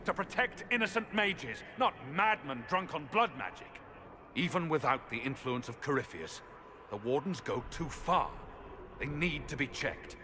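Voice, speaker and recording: An actor playing a resolute, principled warrior in a fantasy game, with a grave, declarative delivery. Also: male voice, fairly high pitch, expressive, moderate pace, close to an everyday voice. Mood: indignant. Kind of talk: dramatic video game acting. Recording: clear ambient noise behind.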